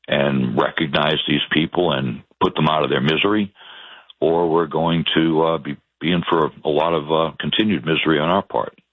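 A man from the Boston area with a Boston accent, a guest in a political talk, speaking earnestly with halting restarts. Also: very deep voice, vocal fry, husky, no echo. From Boston area, Massachusetts. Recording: tinny phone-like line.

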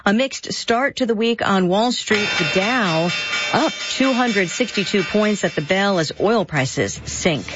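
A woman reading radio news headlines in a crisp, measured way. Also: mid-range voice, lively intonation, lo-fi sound, over loud music.

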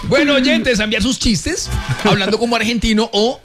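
A man's voice: very high voice, normal speed. A man hosting a morning radio show, joking around and dropping mock Argentine slang. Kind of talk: jokey radio banter. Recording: radio studio with music bed.

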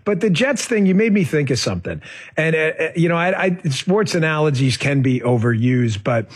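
A man from New York hosting a political talk radio show, rambling with hesitant restarts before lively stories. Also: on the high side, brisk, husky.

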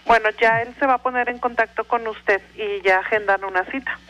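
A woman's voice: fairly high pitch, fairly even intonation, fairly quick, fairly bright tone, rough recording. A woman, working as a switchboard receptionist, polite and businesslike. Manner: polite, businesslike phone manner.

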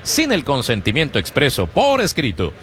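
A man announcing a baseball game play by play for a radio broadcast, in a rhythmic, animated calling style. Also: medium pitch, moderate pace, slight background noise. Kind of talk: Spanish-language baseball radio commentary.

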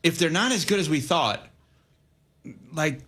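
A man co-hosting a sports talk show, debating football in a casual, animated way. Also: a little high, measured pace, husky. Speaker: sports radio co-host.

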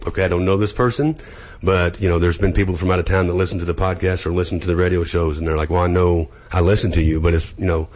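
Very deep-voiced male sports announcer. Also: level tone, fast talker, a little mellow, highs cut off. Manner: hesitant, many fillers and restarts, outgoing. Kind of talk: casual interview chat.

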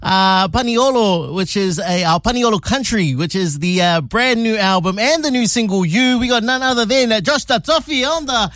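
Laid-back male morning host, high voice, dry close sound. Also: normal speed, few pauses, dry recording. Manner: relaxed, slangy, friendly banter. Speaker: radio show host. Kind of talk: casual radio interview.

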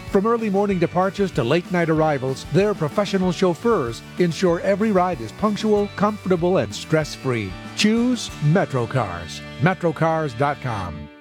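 A man announcer reading a scripted radio commercial in a polished, corporate tone. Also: a little high.

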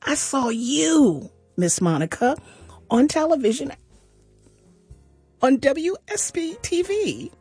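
A woman's voice: high-pitched, slow, long pauses, no room sound, soft background music. A woman hosting a radio fundraiser, backing her guest with lots of mm-hmms and chatting in an upbeat way. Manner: affirming, lots of mm-hmms, lively delivery. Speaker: radio host. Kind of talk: casual radio chat.

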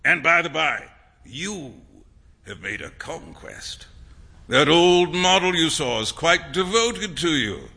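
A man's voice: a little high, big pitch swings, takes it slowly, creaky, sharp tone, in a large hall, exaggerated voice. A man doing a brash, flamboyant painter. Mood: boisterous. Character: flamboyant loud male portrait painter, witty and brash.

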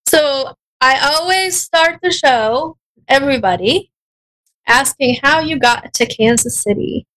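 A woman's voice: high-pitched, measured pace, a bit bright, rough recording. A woman hosting a radio interview, chatty and playful with her guest. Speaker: radio show host.

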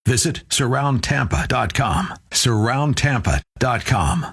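A man's voice: mid-range voice, lively intonation, measured pace, vocal fry, a bit bright, husky. A man reading radio station promos in a punchy, promotional style.

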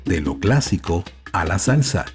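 Male narrator, slightly low register, reads scripted documentary copy over a music bed. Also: big pitch swings, a little slow.

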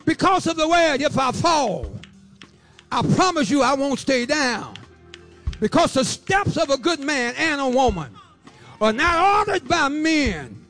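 A man in his seventies giving a fervent preaching testimony, repeating phrases rhythmically and breaking into shouts and half-sung lines. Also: extremely high pitch, big pitch swings, measured pace, faint music behind. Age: 70s.

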